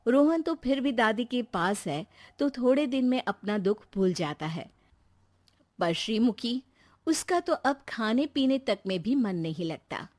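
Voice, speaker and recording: A woman's voice: on the high side, animated, measured pace. A woman narrating a scripted moral family story as a storyteller.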